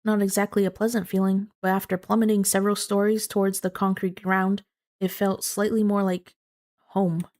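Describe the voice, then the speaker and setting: A woman's voice: medium pitch, little pitch movement, moderate pace, a little mellow. A woman streamer who moves between casual, informal chat and reading a visual novel story aloud. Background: background music.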